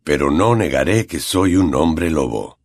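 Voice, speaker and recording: A man voicing a weary, gentle male teacher who is secretly a werewolf, speaking quietly and calmly. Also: a bass voice, a little slow, no echo, pitched below the performer's own voice, full character voice. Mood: calm pleading. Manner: quiet, calm, soothing.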